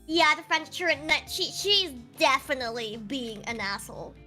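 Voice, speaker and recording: A woman's voice: high-pitched, expressive, moderate pace, pushed and bright, faint music behind. A woman in casual stream chat, reacting with emphatic opinions. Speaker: streamer co-host.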